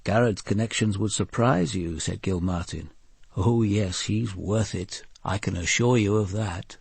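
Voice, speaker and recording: Male investigator, gravelly, husky, terse, understated, radio crime drama narration. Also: on the low side, measured pace, a little mellow, no room sound, full character voice. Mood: dry, guarded. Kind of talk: first-person crime drama narration with dialogue.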